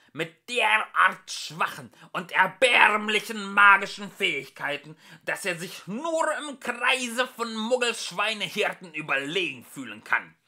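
A man acts out a haughty wizard pamphleteer from a storybook. He declaims slowly and scornfully, with a raised, swooping pitch. Every phrase is hateful and emphatic. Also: gravelly, bright, forceful tone, full character voice. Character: pompous hateful male wizard pamphleteer of bygone times.

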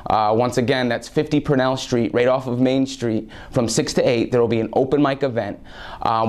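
Young man, unhurried soft announcement. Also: mid-range voice. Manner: unhurried, soft-spoken, with frequent ums and uhs. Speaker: poet promoting an event. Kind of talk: spoken announcement to a school. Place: small studio with a curtain backdrop. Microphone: lapel mic.

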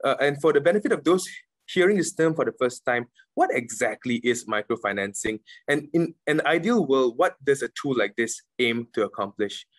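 A middle-aged man moderating an online panel over a video call, asking measured, formal questions. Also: mid-range voice, lively intonation, no echo. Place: home room on a video call.

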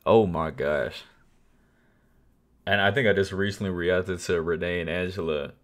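A young man in his twenties hosting a music reaction video, talking casually and softly at night, excited about the song. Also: deep voice, unhurried. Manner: enthusiastic, softly spoken at night. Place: small bedroom, indoors. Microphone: wired earbuds mic, webcam framing. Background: song playing faintly.

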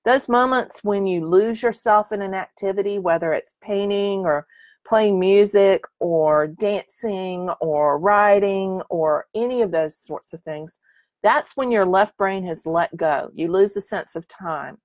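A woman's voice: mid-range voice, unhurried, a little mellow, no echo. Woman teaching painting on a live stream. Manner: chatty, encouraging, answers comments by name. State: slightly losing her voice. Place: small room with curtained window, indoors.

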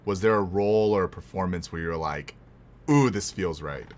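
A man's voice: deep voice, moderate pace, a little mellow, a little hiss or hum. An American man hosting a casual interview, asking questions in an informal, attentive way. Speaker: interview host.

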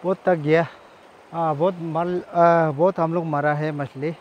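A man's voice: on the high side, flat delivery, unhurried, lo-fi sound, a little hiss or hum. A man, chatting casually to camera as a vlogger, repeating himself and often exclaiming. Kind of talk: casual vlog narration to camera. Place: outdoors by a jungle river in the rain.

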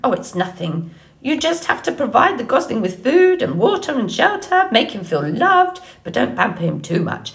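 A woman voicing an old female goose in an audiobook story, squawking, bossy and brisk in her manner. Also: fairly high pitch, vocal fry, voice pushed up, exaggerated voice. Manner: indignant, bossy, matter-of-fact.

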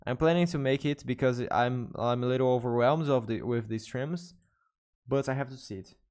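Young Brazilian man streaming in halting English. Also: medium pitch, darkish tone. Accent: Brazilian Portuguese. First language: Portuguese. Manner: hesitant, self-correcting non-native English. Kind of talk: casual live-stream chat with viewers. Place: bedroom streaming setup indoors. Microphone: studio mic on desk with headphones.

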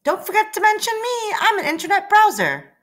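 A woman voicing a perky, cute, eager little software app in a children's storybook read-aloud. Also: very high-pitched, big pitch swings, brisk, pitched above the performer's own voice, heavily acted. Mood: chirpy.

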